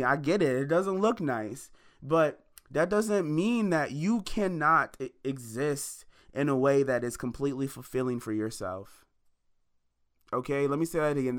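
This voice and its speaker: A man's voice: medium pitch, fairly bright tone. A man in his twenties giving a preachy motivational monologue to listeners, repeating lines for emphasis. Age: 20s. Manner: addresses listeners as y'all and baby. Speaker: independent musician opening a podcast.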